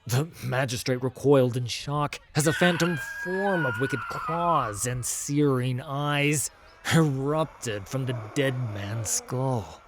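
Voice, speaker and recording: Slow, dramatic male fantasy narrator. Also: medium pitch, noisy background. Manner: intense, dramatic, with hushed drops. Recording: music under the voice. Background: music.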